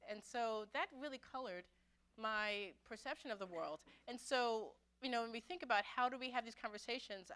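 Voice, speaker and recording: A middle-aged woman from Brooklyn, a pastor telling her own story to an adult forum in a conversational, reflective way, often pausing and restarting. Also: fairly high pitch, fairly bright tone. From Brooklyn, New York City. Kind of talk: personal testimony talk. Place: church meeting room.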